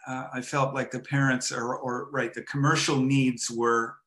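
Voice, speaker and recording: An older man from Montreal, a photographer and artist, talking in a hesitant, reflective way with many pauses and restarts, on a headset over a video call from home. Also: medium pitch, little pitch movement, a bit bright. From Montreal, Canada. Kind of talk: casual interview conversation. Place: home room with framed photo, indoors.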